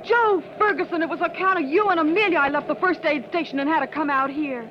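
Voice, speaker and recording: A woman's voice: high-pitched, quick pace, natural voice. An actress playing a flustered, rambling working-class mother in a 1940s radio drama. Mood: accusing. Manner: breathless, fussy, rambling. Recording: dull old radio recording with hiss.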